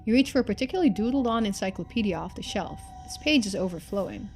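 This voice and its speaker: A woman's voice: medium pitch, expressive, fast, darkish tone, faint music behind. A woman streamer giving casual playthrough commentary, thinking aloud and reading game text out.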